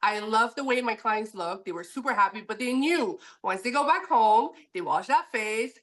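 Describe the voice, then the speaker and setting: A French Canadian woman, a native French speaker, chatting in a lively way as a podcast guest. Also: a little high, normal speed. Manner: lively, chatty, laughs easily. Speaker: podcast guest, permanent makeup educator. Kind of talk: podcast interview.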